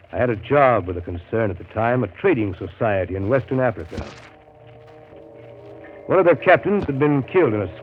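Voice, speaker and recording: An actor playing a brooding seafarer who recounts a jungle voyage in a measured, literary, dramatic 1930s radio style. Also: male voice, mid-range voice, close to an everyday voice. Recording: scratchy, narrow-band 1930s radio broadcast.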